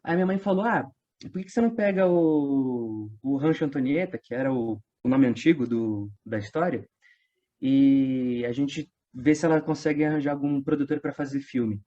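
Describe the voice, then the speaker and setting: A man's voice: mid-range voice, moderate pace, lo-fi sound. A Brazilian man chatting casually as an interview guest, telling stories in slangy speech and acting out what people said. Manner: animated storytelling, acting out quoted remarks in slangy speech. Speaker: guest, audio drama writer and voice-dubbing worker.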